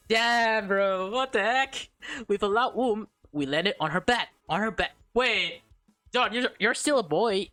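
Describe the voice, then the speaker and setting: A woman's voice: mid-range voice, fairly bright tone, no echo. A woman reading a visual novel aloud as a let's player, stumbling over and repeating words, with slangy, casual reactions.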